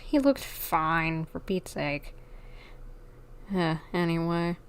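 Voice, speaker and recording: A woman's voice: deep voice, expressive, slow pace, smooth. A woman streaming a game with casual live reactions and blunt, sardonic asides.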